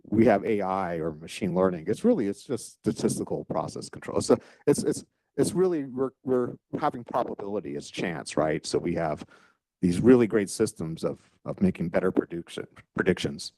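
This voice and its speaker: A man speaking informally on a panel, discursive and halting, often repeating his phrases as he goes. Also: low-pitched, expressive, mellow, no echo, poor audio. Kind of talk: informal unscripted panel talk.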